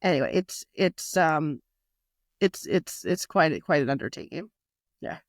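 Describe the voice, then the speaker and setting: A woman's voice: low voice, unhurried, no room sound, clean studio sound. A woman guest in a casual podcast interview, talking in a rambling way with many fillers and restarts. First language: not Italian. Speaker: magazine publisher and guest.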